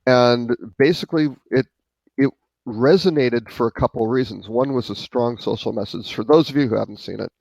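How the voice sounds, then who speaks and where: A man's voice: mid-range voice, brisk, vocal fry, darkish tone, no room sound, dull audio. A man co-hosting a live marketing show, chatting casually and thinking aloud with fillers. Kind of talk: casual live-stream discussion.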